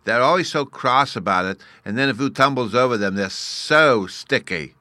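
A man's voice: medium pitch, animated, normal speed, pushed and bright, no echo, voice pushed up. Man voicing a little boy fairy, shift+ baby talk. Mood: earnest. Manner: childish baby talk, mispronouncing words. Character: tiny earnest little boy fairy, scornful and proud. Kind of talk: storybook character reading.

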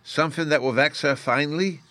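A man reading a storybook aloud, voicing a small, mischievous and sulky boy fairy who lisps "oo" for "you" and talks in a petulant, lively way. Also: medium pitch, measured pace, dry recording, pitched above the performer's own voice. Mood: impatient. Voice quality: childish lisp, says "oo" for you.

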